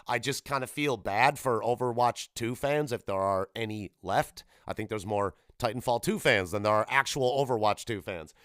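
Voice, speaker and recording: A man's voice: mid-range voice, normal speed, few pauses, a bit bright, no echo, clean studio sound. Rambling, irreverent man hosting a podcast. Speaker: podcast host. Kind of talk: casual solo gaming commentary.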